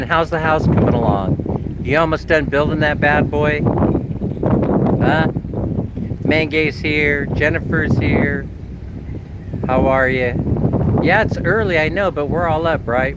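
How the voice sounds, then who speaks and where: A man with a slight cold talks in a loose, joking way to his live-stream audience, his voice a little nasal. He holds the phone at arm's length on an open ferry deck. Heavy wind and the drone of the ship's engine sit loudly under his voice. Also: medium pitch. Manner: chatty, self-mocking, with frequent restarts. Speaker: vlogger hosting a live stream. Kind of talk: casual live-stream chat. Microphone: phone held at arm's length. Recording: loud wind and boat noise. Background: wind and ship engine.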